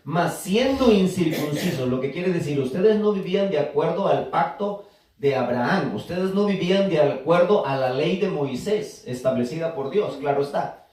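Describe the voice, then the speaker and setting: A man's voice: high-pitched, darkish tone. A man preaching a sermon to a congregation, teaching and asking them to answer back. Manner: teaching, asks the congregation to respond. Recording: echoey church room from far off.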